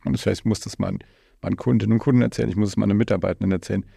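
Low, gravelly, mellow male podcast host. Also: racing, no echo, studio quality. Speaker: podcast host interviewing a guest. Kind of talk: casual conversational podcast interview.

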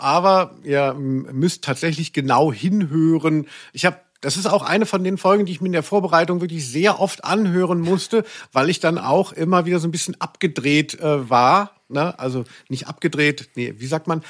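A man's voice: fairly high pitch, moderate pace, few pauses. A man hosting a podcast in casual, chatty style, rambling and correcting himself as he goes. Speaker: podcast host.

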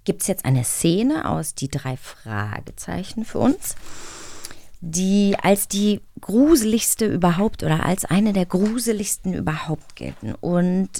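A woman's voice: on the low side, lively intonation, slow pace, vocal fry, mellow. A woman hosting in a casual, conversational style. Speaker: host. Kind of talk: casual chat.

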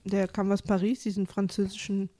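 A woman's voice: medium pitch, level tone, fairly quick, mellow. A woman co-hosting a casual podcast chat, giving blunt, matter-of-fact opinions. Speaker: podcast co-host.

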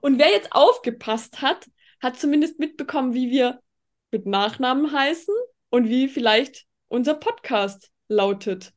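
High-voiced Tyrolean woman with rolled R. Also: measured pace. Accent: Tyrolean Austrian German. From Tyrol, Austria. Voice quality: strongly rolled R, harsh throaty K. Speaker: podcast co-host. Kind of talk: casual podcast chat.

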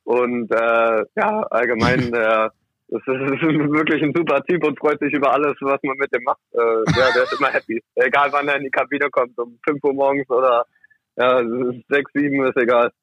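Young male athlete, casual interview guest, halting delivery, medium pitch, dull lo-fi sound. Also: fairly even intonation, a bit bright, no echo. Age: young adult. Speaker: ice hockey player, interviewed guest. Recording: muffled, dull lo-fi audio.

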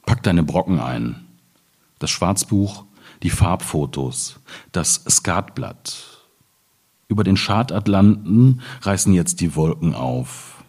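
A man from the Ruhr area in Germany reading his own poems in measured phrases. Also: low voice, animated, darkish tone, husky. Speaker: poet reading own work.

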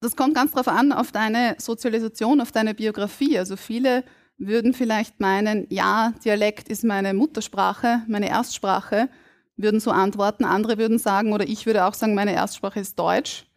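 A woman from Burgenland with an Austrian German accent, a linguist on a live podcast stage, explaining in a measured, academic way. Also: mid-range voice, steady tone. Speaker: guest linguist. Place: stage before a live audience.

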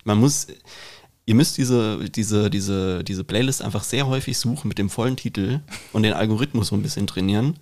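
Male co-host, lower-pitched, informal conversational delivery, reworks phrases mid-thought. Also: a little fast, a little mellow. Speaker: podcast co-host. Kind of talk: casual podcast chat.

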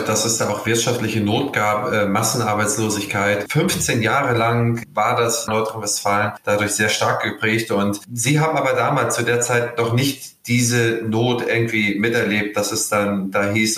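A male podcast host with a fairly low voice asks questions formally and earnestly. His voice carries a noticeable room echo. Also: fairly bright tone. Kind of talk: podcast interview.

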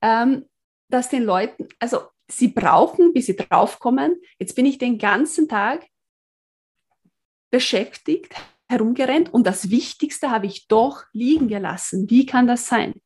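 Woman guest, slightly high husky voice, lively, opinionated, casual talk. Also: measured pace. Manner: lively, opinionated, acts out quotes. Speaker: podcast guest, productivity speaker. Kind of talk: casual conversational interview.

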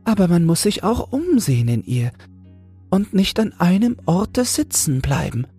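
Guy doing a little girl toad for a fairytale, super high squeaky pitch, wide-eyed and yearning, soft music under it. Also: big pitch swings, unhurried, husky, exaggerated voice. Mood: eager wonder. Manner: earnest, exclaiming wishfully. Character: small female toad, naive, yearning, full of wonder. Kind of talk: fairy tale audiobook character voice.

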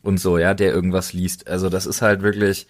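A man's voice: deep voice, level tone, brisk. A man chatting casually as a podcast co-host, enthusiastic and emphatic with plenty of slang.

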